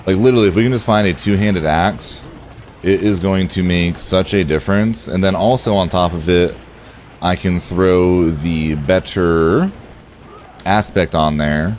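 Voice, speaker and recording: A man with a low, relaxed voice talks casually through a game livestream. He thinks aloud and chats directly to his viewers, with clear background noise behind him. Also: measured pace. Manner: chatty, thinking aloud, addressing viewers by name. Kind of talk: casual livestream chat over gameplay.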